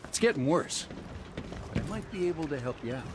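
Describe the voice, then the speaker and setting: A man's voice: fairly high pitch, lively intonation, brisk, noisy background, lightly acted. An actor playing a sly, streetwise townsman in a video game, talking in a conspiratorial way. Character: rough city townsman, sly fixer. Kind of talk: video game ambient dialogue.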